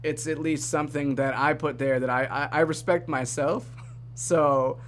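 A man hosting a podcast in a casual, upbeat way, chatty and quick to laugh. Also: fairly high pitch, fairly even intonation, a bit bright, a little hiss or hum. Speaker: podcast host. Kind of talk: casual chat.

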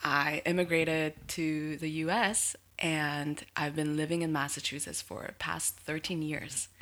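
A woman's voice: sits low, level tone, unhurried, a bit bright. A woman in her twenties, from Korea, chatting as a podcast guest, giggly and hesitant with lots of fillers. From South Korea. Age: 20s. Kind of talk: casual interview chat.

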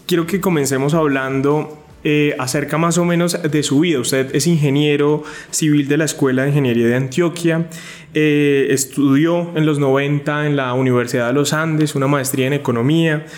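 A man hosts an interview, his voice sitting a little high. He asks his questions conversationally, stumbling now and then with fillers as he frames them. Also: fairly even intonation, normal speed. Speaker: podcast host. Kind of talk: interview hosting.

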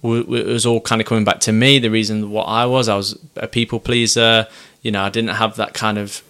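A young man, a business owner, talking in a soft, hesitant way with many pauses and restarts. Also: lowish voice, level tone, clean tone. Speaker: business owner and podcast guest. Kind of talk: reflective casual chat.

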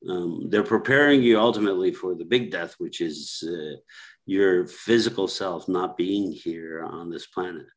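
A man speaking as a guest on a reflective podcast, slow and ruminative, with fillers and repeated phrases. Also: sits low.

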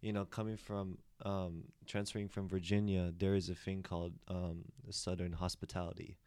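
A man with a very low voice talks through a casual group discussion, circling back with fillers and false starts. The sound is dry and close. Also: level tone, normal speed, darkish tone, smooth, dry recording. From United States. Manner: rambling with many restarts and fillers. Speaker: discussion participant.

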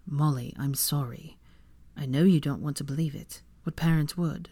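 A woman voicing a stern, calm young husband, measured and protective, in an audiobook story. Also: rumbling low, no room sound. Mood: gentle regret. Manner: measured, firm.

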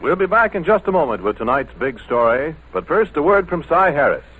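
A man's voice: fairly high pitch, sing-song, fairly quick, over loud music. An American man announcing a 1940s radio commercial with emphatic, polished salesmanship. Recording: tinny old radio broadcast.

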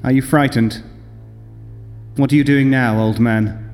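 A man's voice: medium pitch, steady tone, a little mellow, natural voice. Man acting a nervous madman, reverberant, over music. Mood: menacing. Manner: insistent, intense, deliberate self-justifying delivery. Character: nervous, obsessive madman confessing his murderous plot. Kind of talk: old-time radio horror acting. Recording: long reverb, music behind.

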